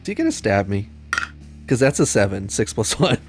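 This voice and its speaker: A man playing a tabletop game, chatting casually and improvising in a loud, excitable way. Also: fairly low pitch, a little fast, over music. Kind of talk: casual, improvised role-play chat.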